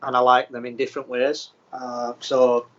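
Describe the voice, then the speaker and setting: Man from England, flat even delivery, footballer guest, muffled lo-fi sound. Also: medium pitch, normal speed. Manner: plain, steady, with frequent ums. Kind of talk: casual interview answers.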